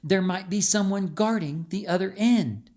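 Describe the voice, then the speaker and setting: A man's voice: high-pitched, moderate pace, a little mellow, voice pushed up, lightly acted. A man voicing a plucky, bossy, adventurous young girl in an audiobook, confident and coaxing. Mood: conspiratorial. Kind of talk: audiobook character voice.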